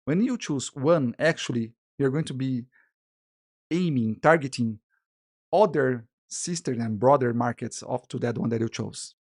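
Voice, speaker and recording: A man with a Brazilian Portuguese accent, a native Portuguese speaker, teaching a live online masterclass. He talks in an animated way, restarts his sentences often and keeps checking in with "yeah?" and "guys". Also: medium pitch, unhurried. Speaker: illustration business teacher.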